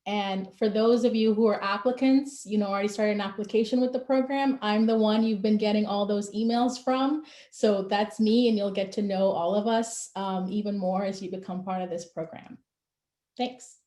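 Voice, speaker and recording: A woman from Guyana speaks in a friendly, relaxed manner at a middle pitch. Her tone is dark and mellow, and the echo of the room comes through the video-call mic. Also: normal speed. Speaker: communications manager. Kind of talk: online info session introduction. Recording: echoey room.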